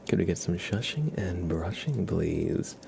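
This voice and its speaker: A man hosting an ASMR livestream, chatting casually to viewers in a soft, mostly whispered, gentle and unhurried murmur. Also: deep voice, lively intonation, vocal fry, background noise.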